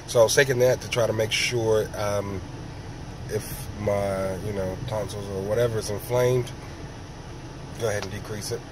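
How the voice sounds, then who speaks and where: A man with a sore throat and a raspy, hoarse voice, talking slowly in a rambling casual monologue, often clearing his throat. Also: fairly low pitch, fairly even intonation, noisy background. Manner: rambling, slow, many ums and throat clearing. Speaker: vlogger.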